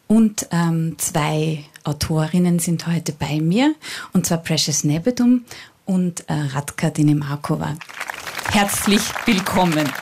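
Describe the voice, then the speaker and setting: A woman hosting a literary evening, introducing and interviewing guests in a halting way with restarted sentences. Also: on the low side, darkish tone, breathy edge. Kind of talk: live event introduction and interview.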